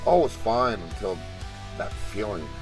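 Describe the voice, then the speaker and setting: A man acts out a haunted male Marine veteran narrating a horror audio drama. His low voice moves widely in pitch as he tells the tale in halting, dramatic phrases. Music plays behind him. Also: sing-song. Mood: uneasy, hushed.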